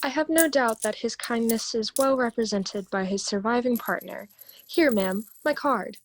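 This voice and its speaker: A woman's voice: on the high side, normal speed, slight background noise, lightly acted, rough recording. A woman playing a polite, earnest charity collector in an amateur radio play, formal and courteous, recorded over a home video call.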